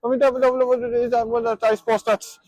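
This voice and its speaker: A man chatting casually on the street in a halting way, repeating words and trailing off. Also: extremely high pitch, flat delivery, a little mellow, rough recording. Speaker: passer-by who streams. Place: outdoors on a town street.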